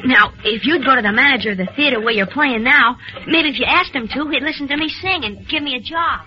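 A woman's voice: high voice, brisk, faint music behind, natural voice. A woman playing an eager little newsboy in a radio drama, with earnest, boyish street slang. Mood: eager, pleading. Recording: dull, muffled vintage radio recording.

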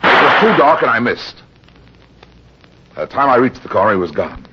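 An actor playing a tough, matter-of-fact American private detective, with clipped old-time radio noir delivery. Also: male voice, medium pitch, sing-song, moderate pace, muffled recording, very noisy, lightly acted. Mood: tense, grim narration. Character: hard-boiled, unsentimental male private detective in pulp radio drama.

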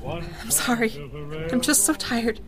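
Woman acting, weary troubled woman, hesitant, slow delivery, higher pitch, earnest radio drama dialogue. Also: lively intonation. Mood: nervous, weary. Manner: hesitant, halting. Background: music.

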